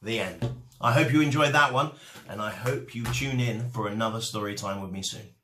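A young man hosting a children's storytime, reading rhymes aloud in an expressive, emphatic way. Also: mid-range voice, moderate pace, reverberant, slight background noise. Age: young adult. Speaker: storytime host. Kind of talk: reading a rhyming children's picture book aloud. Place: bright room at home by a window.

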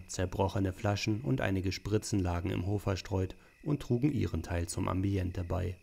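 A man's voice: deep voice, creaky, a little mellow, slight background noise, full character voice. A man narrating a youth detective audio drama in a scripted storyteller style.

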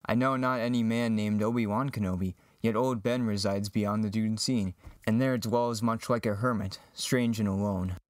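A man's voice: lowish voice, steady tone, moderate pace, few pauses, no room sound, studio quality, natural voice. A man playing an earnest, lovestruck young farm boy hero, declaiming verse in an amateur Shakespearean reading. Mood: earnest, wondering.

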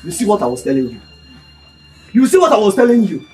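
An agitated, scolding Nigerian friend played by an actor in a film drama. The delivery is loud and exclamatory Pidgin with big pitch swings and repeated phrases, over faint music. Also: big pitch swings, normal speed, lightly acted.

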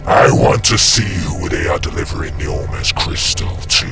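An actor playing a tyrannical, wrathful demonic lord in a radio play, gravelly and commanding, with slow pompous menace. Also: male voice, a bass voice, over loud music. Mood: menacing. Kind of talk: radio play villain acting.

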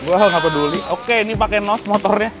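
A young man from Indonesia hosting a casual motorbike unboxing vlog, talking in a chatty, excitable way in an outdoor garage yard. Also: high voice, a little fast. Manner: chatty, excitable, swears and laughs. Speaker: motovlog host.

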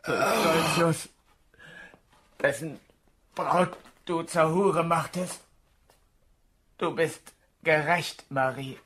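Slow, dying avenger. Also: male voice, on the high side, fairly bright tone, a little hiss or hum. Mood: dying triumph. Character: dying vengeful young soldier, gloating and bitter. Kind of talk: German stage-drama radio play acting.

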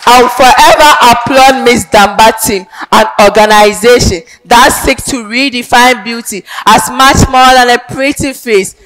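A young woman with a Ghanaian accent performing a theatrical advocacy monologue on a large indoor stage, emphatic and declamatory with sudden loud swells. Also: on the high side, measured pace, hardly any pauses, rough recording. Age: young adult. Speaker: pageant contestant.

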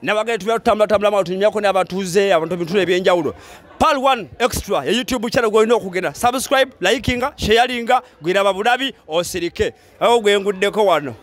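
A Ugandan man hosting street interviews in an open-air market, animated and bantering, often repeating himself. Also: high-pitched, rapid-fire. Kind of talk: lively vox-pop presenting.

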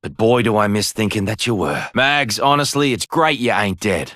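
Cheeky Australian demolitions brawler, game character, bright forceful voice, animated pitch, sardonic banter. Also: male voice, moderate pace, no room sound, clean studio sound. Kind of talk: video game character voice lines.

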